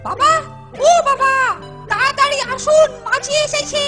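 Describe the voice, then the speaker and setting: A woman voicing an anxious, obedient little boy with a childlike manner in a comic cartoon. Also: a little slow. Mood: urgent. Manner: shrill, protesting, childlike. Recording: loud jingly music behind the voice.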